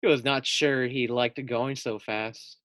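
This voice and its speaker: A man's voice: medium pitch, fairly even intonation, a little slow, a bit bright, dry recording. A man reading a children's storybook aloud as a teacher, expressively, putting questions directly to young listeners.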